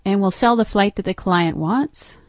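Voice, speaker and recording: A woman narrating an instructional software demo as a trainer, explaining step by step in an instructive way. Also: mid-range voice, moderate pace, no echo.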